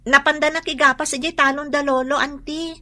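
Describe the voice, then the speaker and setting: A woman's voice: high-pitched, steady tone, brisk, bright, forceful tone. A woman with an Ilocano accent playing a polite, diligent young student niece in a radio drama. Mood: polite, earnest. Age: young adult.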